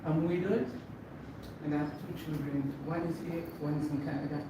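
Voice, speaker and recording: A woman's voice: sits low, steady tone, moderate pace. Older Zambian woman, echoey noisy room. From Zambia, born in Malawi. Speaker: course participant. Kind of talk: impromptu self-introduction. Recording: echoey room, distant mic, loud noise.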